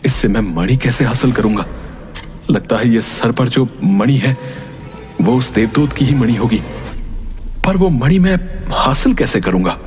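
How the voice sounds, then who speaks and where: A man voicing a brave, determined young hero in an animated fairy tale, speaking in an earnest, dramatic way. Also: medium pitch, measured pace, soft background music, close to an everyday voice. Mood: startled.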